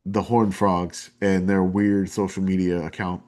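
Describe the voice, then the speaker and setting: A man's voice: low-pitched, little pitch movement, unhurried, darkish tone. A man with a New Orleans drawl chatting casually as a podcast guest, rambling and enthusiastic with lots of fillers. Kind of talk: casual chat.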